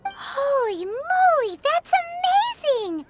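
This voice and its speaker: A woman voicing a tiny, excitable, childlike fairy companion with a squeaky voice in a video game. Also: slow, exaggerated voice. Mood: amazed. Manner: speaks of self in third person. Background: loud tinkly playful music.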